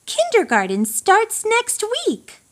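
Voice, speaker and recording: A woman voicing a gently firm storybook mother who explains things to her young child. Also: very high-pitched, wildly swooping pitch, takes it slowly, voice pushed up, full character voice. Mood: matter-of-fact.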